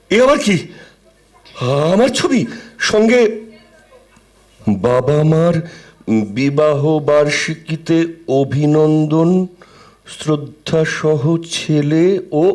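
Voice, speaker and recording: An actor playing a miserly, teasing middle-aged husband who grumbles theatrically and sarcastically in an audio drama. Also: male voice, on the high side, darkish tone.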